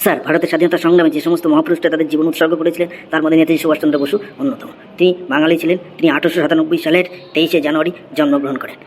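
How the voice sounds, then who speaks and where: A man's voice: on the high side, little pitch movement, very fast, few pauses, slight background noise, close to an everyday voice. A man voicing an eager schoolboy in a comedy cartoon, reciting essays by rote. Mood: earnest, reciting.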